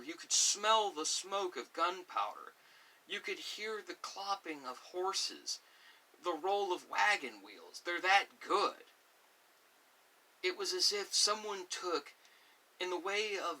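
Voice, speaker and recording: A man's voice: on the high side, pushed and bright. A man rambling informally in an ASMR music talk, musing and opinionated, often drifting into asides. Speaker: host of an ASMR channel. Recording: distant mic with hiss.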